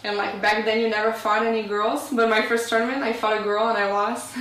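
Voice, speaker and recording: A woman's voice: on the high side, flat delivery, brisk, fairly bright tone, rough recording. A woman answering in an old interview clip, chatty and full of filler words.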